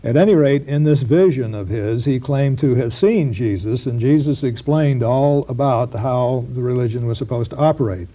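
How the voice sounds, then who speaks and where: Mellow-voiced man explaining history haltingly. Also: mid-range voice. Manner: halting, with many fillers and restarts. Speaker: professor being interviewed. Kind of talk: explanatory interview talk. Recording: 1990s broadcast interview.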